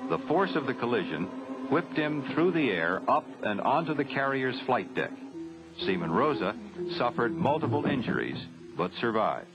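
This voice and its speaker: An American man narrating a 1970s military training film from a script, formal, grave and measured. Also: medium pitch, big pitch swings, over loud music. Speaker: documentary narrator. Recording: dull 1970s film soundtrack, faint noise.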